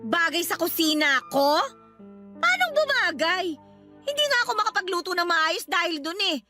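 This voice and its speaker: A woman's voice: very high voice, sing-song, normal speed, sharp tone, soft background music, full character voice. A woman playing a resentful older mother in a Tagalog radio drama, talking in a sarcastic, needling way. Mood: sarcastic, annoyed.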